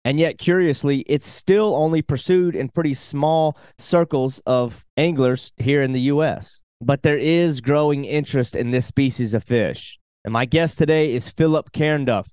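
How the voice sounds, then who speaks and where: A man's voice: on the high side, moderate pace, hardly any pauses, a little mellow, clear, resonant tone, no room sound. A man hosting a fishing podcast, reading an eager intro and then chatting casually with his guest. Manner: enthusiastic, conversational. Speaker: podcast host. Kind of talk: scripted intro, then casual interview chat.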